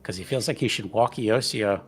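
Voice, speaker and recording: A man's voice: lowish voice, a little fast. A man playing a tabletop roleplaying game, talking casually and moving in and out of character. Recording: music behind the voice.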